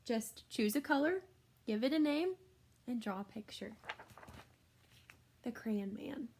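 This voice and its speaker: Lady teacher doing a kids read aloud, highish voice, expressive, stops to explain stuff. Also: slow, a little hiss or hum. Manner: expressive, explanatory, addresses young listeners directly. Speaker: schoolteacher reading a picture book aloud. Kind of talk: read-aloud for children with chatty asides.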